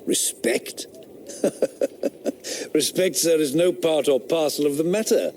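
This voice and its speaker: An actor playing a sardonic, rationalist eighteenth-century philosopher in a radio drama, speaking in a declamatory, scornful manner. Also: male voice, on the high side, slow pace, darkish tone, slight background noise. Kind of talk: period radio drama acting.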